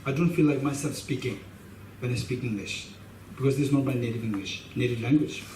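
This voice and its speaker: A man's voice: medium pitch, a little fast, a little mellow. A middle-aged man with a Tibetan accent, a Buddhist teacher leading a class, speaking emphatically and often repeating and restarting phrases. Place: meditation hall with shrine, indoors. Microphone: stand mic in front of him. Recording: roomy hall sound, mic a bit distant, faint hiss.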